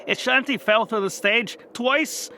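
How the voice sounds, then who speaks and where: A man's voice: extremely high pitch, unhurried, pushed and bright, echoey room, a little hiss or hum, pitched above the performer's own voice, full character voice. A man voicing a teasing, loyal female adventurer and former bandmate in a comic fantasy audio drama. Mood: amused.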